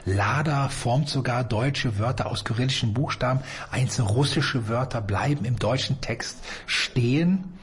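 A man's voice: mid-range voice, unhurried, slightly rough. A man interviewer formally addressing a guest author with a prepared question.

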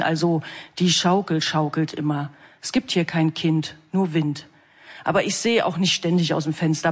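A man reading a passage from a novel aloud in a calm, measured way. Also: high-pitched. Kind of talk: literary reading.

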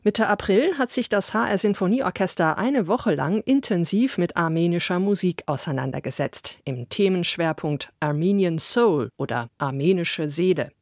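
A woman's voice: fairly low pitch, expressive, moderate pace, few pauses, darkish tone, dry recording, clean studio sound. A woman presenting a classical concert broadcast on the radio, telling the story of the music in a light, engaging way.

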